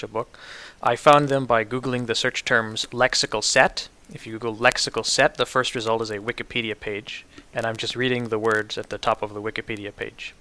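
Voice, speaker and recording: Bright-voiced man with New York City accent. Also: mid-range voice. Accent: New York City American. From New York City, United States. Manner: precise, deliberate enunciation of example words. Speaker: presenter demonstrating pronunciation. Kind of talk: instructional demonstration with word lists.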